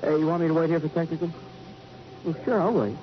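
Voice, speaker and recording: A man's voice: on the high side, quick pace, over loud music, close to an everyday voice. An actor playing a plain-spoken American policeman, casual and questioning, in a 1950s radio drama. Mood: puzzled. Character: plain-spoken, puzzled male street policeman. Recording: dull, very noisy old radio recording.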